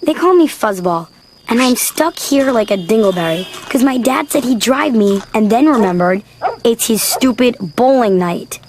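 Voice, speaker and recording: A woman's voice: on the high side, lively intonation, normal speed, darkish tone, a little hiss or hum, natural voice. A woman voicing a sardonic, grumbling small-town kid who narrates a cartoon. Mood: grumbling, exasperated. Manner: wry, grumbling. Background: background music.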